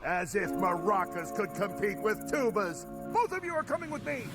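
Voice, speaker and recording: A woman's voice: medium pitch, big pitch swings, moderate pace, music in the background, lightly acted. A woman playing a stern, commanding military base officer who is indignant, in a cartoon audio drama.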